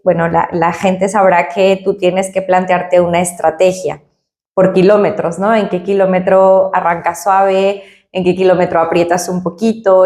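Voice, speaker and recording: A woman's voice: on the low side, a little mellow, roomy sound. A woman, a company director, answering interview questions and explaining her points with analogies and frequent tag questions. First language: Spanish.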